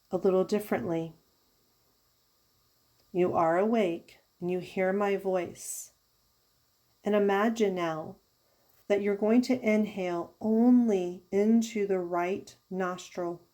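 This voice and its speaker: Woman yoga teacher doing guided relaxation, mellow voice, slow soothing instructions w pauses. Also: medium pitch, steady tone. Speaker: yoga therapist guiding a meditation. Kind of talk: guided yoga nidra meditation.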